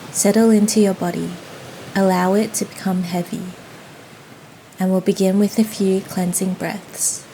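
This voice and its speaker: Slow, mellow woman guiding meditation. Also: mid-range voice, rough recording. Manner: soothing, gentle, unhurried. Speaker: yoga nidra guide. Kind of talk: guided meditation. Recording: faint steady ocean noise behind. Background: ocean waves ambience.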